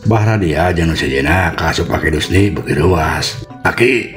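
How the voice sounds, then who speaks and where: A man with a Sundanese accent telling a folk tale as an expressive, unhurried storyteller. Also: very deep voice, soft background music. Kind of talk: dramatic folk tale narration.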